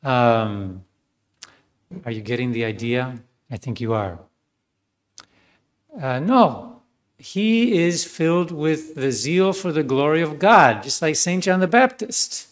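A priest giving a sermon, telling a story in a conversational way with many fillers and ironic asides. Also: male voice, mid-range voice, animated, slow pace, long pauses.

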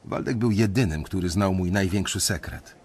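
An actor playing a weary young banker, narrating his story in a radio drama in a reflective, confiding way with a husky voice. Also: deep voice, normal speed, darkish tone. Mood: reflective, resigned.

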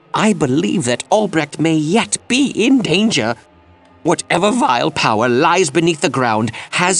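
An actor playing a grave, anguished village priest in a video game, speaking in a solemn, theatrical, old-fashioned way. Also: male voice, fairly high pitch, creaky, heavily acted. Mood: horrified. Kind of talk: dramatic video game dialogue.

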